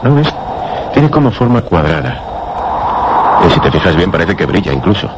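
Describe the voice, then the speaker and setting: A man's voice: low-pitched, big pitch swings, moderate pace. An actor playing a curious male weather observer in a radio drama, asking wondering questions. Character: curious male meteorological observer, pipe smoker. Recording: radio drama with loud background noise.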